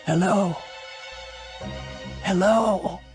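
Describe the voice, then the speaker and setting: A woman's voice: mid-range voice, extremely slow, a little mellow, soft background music. An actress playing a frightened, insistent elderly invalid woman in a radio drama, querulous and emphatic. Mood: agitated, indignant. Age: older.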